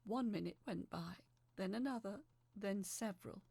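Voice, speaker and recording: A woman's voice: medium pitch, moderate pace, gravelly, mellow, slightly rough, no echo. A young woman performing a dramatic first-person horror narration in an emotive, suspenseful way.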